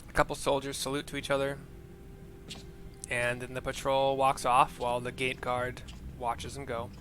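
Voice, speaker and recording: A man's voice: medium pitch, monotone, moderate pace, fairly bright tone. A man giving casual, chatty let's-play commentary as a streamer, loosely describing each scene aloud. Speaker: game streamer, narrator.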